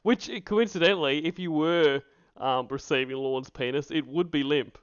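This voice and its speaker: A man's voice: a little high, normal speed, clear, resonant tone, no echo, highs cut off. An Australian man chatting casually and rambling as he hosts a livestream, with an amused, crude way of joking. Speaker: streamer hosting a reaction stream.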